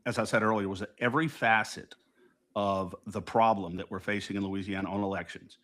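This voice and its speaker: A man's voice: lowish voice, fairly even intonation, brisk. A man, co-hosting a political talk show, talking emphatically and indignantly with frequent restarts. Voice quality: loud voice. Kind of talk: casual political discussion.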